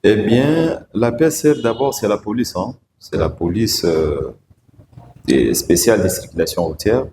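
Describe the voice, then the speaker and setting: A man, a guest answering interview questions in a measured, hesitant way with many fillers. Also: fairly low pitch, animated, mellow. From Democratic Republic of the Congo. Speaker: guest, provincial road-safety director. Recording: echoey room, faint background noise.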